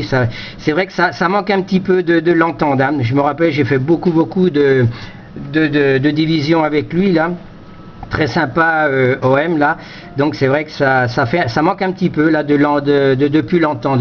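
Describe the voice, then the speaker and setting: A man chatting casually over amateur radio, hesitant and often repeating his words. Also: fairly high pitch. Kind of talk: casual two-way radio chat. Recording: dull lo-fi audio with slight noise.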